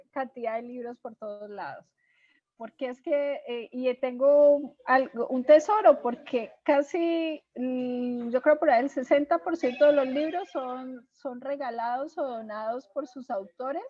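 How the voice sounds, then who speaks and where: A dull, dry lo-fi recording of a woman with a high voice. She hosts a casual interview, talking conversationally with sentimental expressiveness. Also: dry recording. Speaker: host, interviewer.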